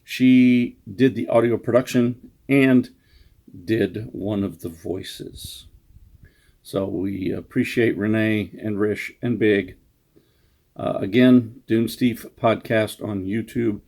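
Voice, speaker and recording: A man introduces his writing casually and without a script. His voice is husky and gravelly with a soft, dark tone. He speaks slowly and haltingly, with a self-deprecating manner. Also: lowish voice, fairly even intonation. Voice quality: husky, creaky. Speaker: author introducing his own story.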